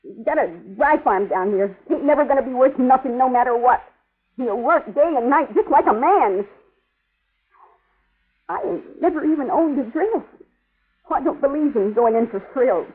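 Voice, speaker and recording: A woman's voice: sits high, lively intonation, normal speed, husky. A woman playing a tough, proud sixteen-year-old runaway farm girl in an old radio western, with a sassy rural Western American drawl. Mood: bitter. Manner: sassy, folksy, ungrammatical drawl. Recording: muffled, narrow-band old radio recording.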